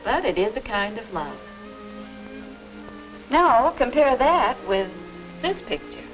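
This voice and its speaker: An actress playing a patient, gently explanatory middle-aged American mother advising her teenage daughter in a 1950s instructional film. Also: a little high, very animated, takes it slowly. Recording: dull vintage film soundtrack with music.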